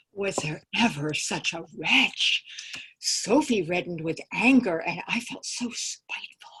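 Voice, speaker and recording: A woman's voice: fairly low pitch, expressive, slow, bright, forceful tone, slightly rough, exaggerated voice. A woman reading the part of a gossiping young letter-writer over a video call, in a confiding tone.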